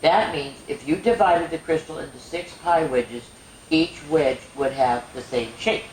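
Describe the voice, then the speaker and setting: A woman reading a children's science book aloud as a storyteller, explaining to kids with expressive wonder and chatty asides. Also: low voice, measured pace. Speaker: storyteller and show host. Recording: echoey room with faint noise.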